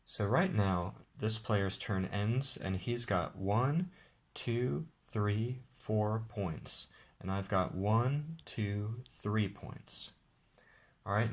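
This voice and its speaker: Man, very low voice, casual game demo, relaxed explainer. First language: English. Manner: relaxed, explanatory, with occasional restarts. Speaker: game designer demonstrating his card game. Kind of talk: casual tabletop game demonstration.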